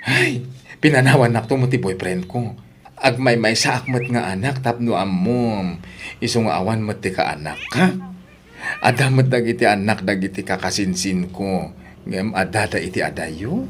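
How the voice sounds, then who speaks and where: A man playing an affectionate, excitable relative in a Filipino audio drama, expressive and coaxing. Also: on the low side, normal speed, a little hiss or hum, lightly acted. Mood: tender, advising.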